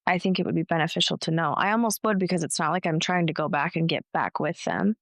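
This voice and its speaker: A young woman co-hosting a casual chat, reflective and agreeable, slowing down when she explains her point. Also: lowish voice, no room sound.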